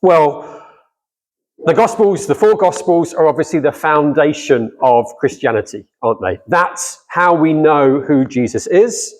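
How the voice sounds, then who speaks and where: Man, middle-aged, slightly high voice, conversational church talk, asks the room questions. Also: animated, measured pace. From not from Cardiff. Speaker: guest speaker.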